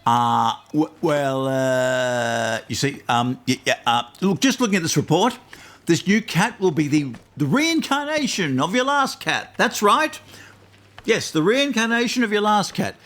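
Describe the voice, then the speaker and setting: A man's voice: a little high, lively intonation, a little slow, fairly bright tone. A man playing a smug, bluffing phone astrologer in a comedy podcast sketch, with hesitant, improvised salesman patter. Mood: bluffing, smooth. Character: bluffing male phone astrologer, smugly mystical con man.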